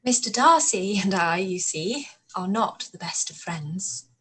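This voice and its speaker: A woman playing a witty, spirited young Regency gentlewoman in a radio play, arch and playful. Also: medium pitch, animated, unhurried, husky. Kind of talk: radio play live read.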